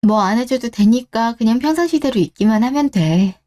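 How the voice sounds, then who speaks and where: A woman's voice: a little high, expressive, normal speed, warm tone, close to an everyday voice. A woman playing an earnest, hurt wife and daughter in a scripted radio drama. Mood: defensive, worried.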